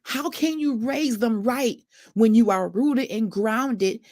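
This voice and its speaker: A woman's voice: a little high, slow, darkish tone, no echo, clean studio sound. A woman hosting a panel discussion, speaking in an earnest, emphatic and colloquial way. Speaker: host. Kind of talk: panel discussion, talk show.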